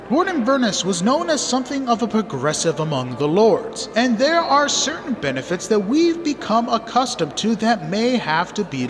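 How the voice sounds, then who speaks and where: High, lively field correspondent in reverb. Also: male voice. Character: chatty male nightlife correspondent reporting live. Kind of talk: field news report.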